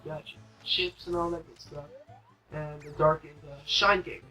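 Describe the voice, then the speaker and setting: A male gaming host chats informally through his playthrough, rambling along casually. His voice is fairly high and very sharp, with faint game music behind it. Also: steady tone, normal speed, rough recording. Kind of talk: casual let's play commentary.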